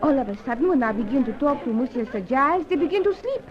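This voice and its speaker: An actress playing a coy, breezy young French dancer in a radio drama, speaking broken English with a French accent. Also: female voice, sits high, lively intonation, quick pace, crowd noise. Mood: animated explaining. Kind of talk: old-time radio drama acting. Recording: dull old radio recording.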